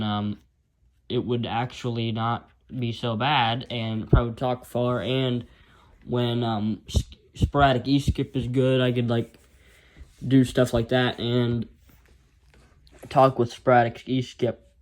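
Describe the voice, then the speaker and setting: An American man talks through a gadget in a casual, unscripted way. His delivery is halting and rambling, with an informal tone and a mid-range pitch. He sounds like an amateur reviewer demonstrating something as he goes. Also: low-quality recording. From United States.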